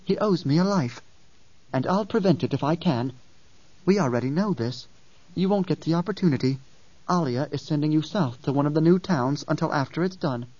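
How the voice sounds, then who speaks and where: A man's voice: a little high, animated, a little fast, darkish tone, dry recording. A man voicing a fierce young girl who carries ancient memories, speaking with grave intensity in an audiobook. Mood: cold resolve. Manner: intense, grave, sometimes pleading. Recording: dull, distant, dry sound.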